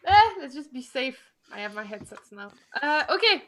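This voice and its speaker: A woman's voice: sits high, big pitch swings, normal speed, a crowd in the background. A woman tabletop player bantering and joking with the group. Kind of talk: casual banter on a live stream.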